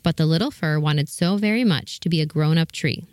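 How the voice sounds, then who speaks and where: A woman's voice: low-pitched, fairly quick, a little mellow. A woman reading a children's fairy tale aloud as the story's narrator.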